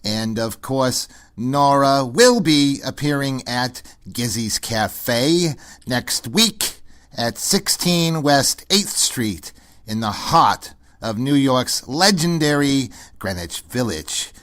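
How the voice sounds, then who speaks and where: A man playing a smug, whiny, self-promoting New York arts snob who co-hosts a comedy radio show with halting, repetitive exasperation. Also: medium pitch, animated, a bit bright. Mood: smug, promotional. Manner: halting, repetitive, chuckles through exasperated complaints. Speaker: radio co-host. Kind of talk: comedy impression of a radio talk host.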